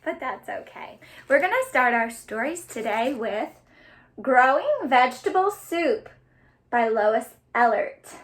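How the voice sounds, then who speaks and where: A woman's voice: fairly high pitch, lively intonation, measured pace. A woman hosting a children's storytime, reading aloud in an exaggerated, sing-song way for young listeners. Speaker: children's librarian, storytime host. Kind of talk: children's storytime, read-aloud and singing along.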